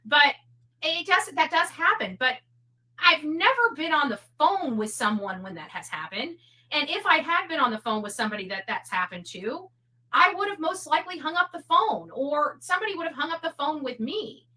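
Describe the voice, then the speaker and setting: A woman giving casual, rambling opinion commentary in a halting way, often repeating her words. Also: sits high, sing-song, pushed and bright, low-quality recording. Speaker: online commentator.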